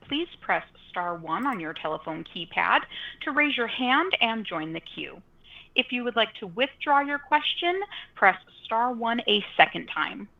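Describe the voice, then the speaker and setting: A woman working as a conference operator, reading scripted instructions politely over a telephone line. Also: medium pitch.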